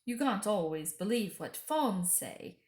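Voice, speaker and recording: A woman's voice: mid-range voice, expressive, measured pace, a little mellow, natural voice. A woman voicing a sulky, sly schoolboy in a storybook, speaking in a curt, know-it-all way. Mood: dismissive. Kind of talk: storybook character reading. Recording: echoey room.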